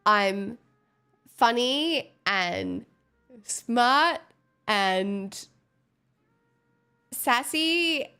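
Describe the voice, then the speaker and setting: A woman's voice: on the high side, very animated, drawn-out words, long pauses, bright, forceful tone, clean tone. Stuffed-up chatty woman streamer. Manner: giggly, chatty, self-mocking. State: stuffed up with a cold. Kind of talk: casual chat with viewers.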